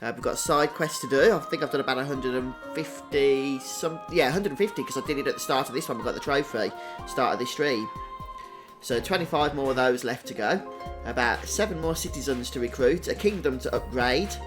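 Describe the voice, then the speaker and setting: Man, mid pitch, casual game streamer, chatty, upbeat, livestream commentary, faint music behind. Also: brisk.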